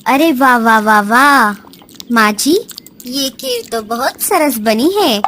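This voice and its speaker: A woman voicing a friendly, excitable female bird in a cartoon, with drawn-out, expressive exclamations. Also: a little high. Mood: exclaiming, eager. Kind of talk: Hindi cartoon character voice. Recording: noisy background.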